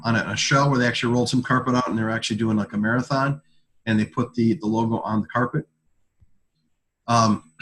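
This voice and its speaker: A man with a mid-range voice presents products in an informal sales talk. His delivery is halting, and he often repeats a word or phrase before carrying on. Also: mid-range voice, a bit bright. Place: conference call.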